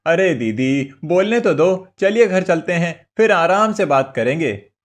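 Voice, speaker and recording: A man playing a gentle, devoted younger brother in a cartoon moral story, earnest and respectful in manner. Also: fairly high pitch, expressive, unhurried. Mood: soothing.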